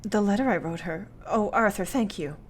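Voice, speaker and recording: A woman's voice: mid-range voice, moderate pace, natural voice. A woman acting an earnest, anxious young Victorian wife in a radio play, devoted and thoughtful, with formal, precise period phrasing. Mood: warm, grateful.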